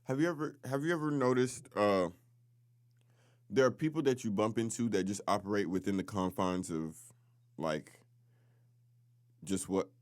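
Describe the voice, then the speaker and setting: A man speaks in a somewhat low voice in a casual podcast discussion. He is informal and halting, often searching for the word, and the recording is very dry. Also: no echo. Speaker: podcast co-host.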